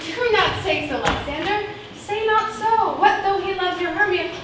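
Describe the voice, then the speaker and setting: Woman acting self-pitying young maiden, very high voice, theatrical verse, far from mic, noisy echoey room. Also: moderate pace. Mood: hurt, indignant. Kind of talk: theatrical Shakespearean stage verse. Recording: very echoey, distant mic, background noise.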